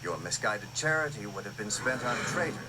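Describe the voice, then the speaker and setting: An actor playing a menacing, courtly imperial lord in an old radio drama, formal, oily and measured. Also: male voice, fairly low pitch, expressive, background noise, natural voice. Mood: veiled menace. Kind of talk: 1980s radio drama acting.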